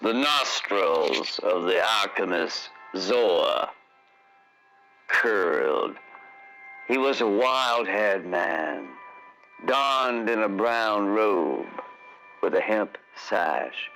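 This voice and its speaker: Slow, deep-voiced man narrating dramatic fantasy. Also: animated, pushed and bright, slight background noise, rough recording. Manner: dramatic, solemn, deliberate delivery. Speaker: radio drama voice actor, narrator. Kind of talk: theatrical scripted fantasy storytelling.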